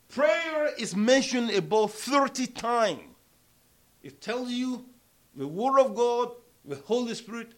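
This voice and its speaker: A man preaching a sermon and leading prayer in a small church, speaking emphatically with a strong voice that rises loud on key words. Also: very high voice. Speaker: pastor.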